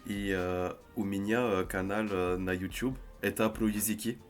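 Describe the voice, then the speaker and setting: Young man, low-ish voice, halting learner on a webcam mic. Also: fairly even intonation, soft background music. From France. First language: French. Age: 20s. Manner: halting, hesitant with many fillers. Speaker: language learner on a video chat. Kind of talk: casual video chat in a learned language.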